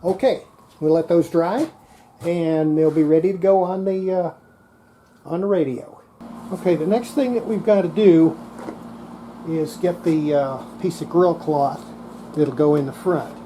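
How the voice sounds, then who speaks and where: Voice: medium pitch, measured pace, long pauses, dark tone, slight background noise. A person narrating hands-on restoration work in a casual way, talking through each step while working. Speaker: host demonstrating radio restoration.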